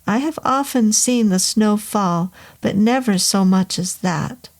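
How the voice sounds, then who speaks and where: A woman's voice: medium pitch, a little slow, a little mellow, lightly acted. A woman voicing a formal, polite middle-aged male innkeeper with a foreign accent and stiff phrasing. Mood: earnest.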